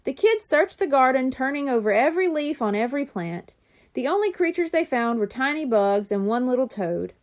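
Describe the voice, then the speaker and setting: Female storybook reader, high voice, lively and expressive delivery for young listeners. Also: moderate pace. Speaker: reader of a children's chapter book. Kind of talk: expressive storybook read-aloud.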